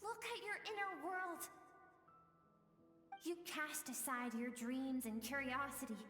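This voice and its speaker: A woman's voice: sits high, measured pace, pushed and bright. An actress playing the earnest, tender emotional self of a warrior woman in a video game. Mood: urgent, insistent. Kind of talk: dramatic video game voice acting. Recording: long echoing reverb, music behind.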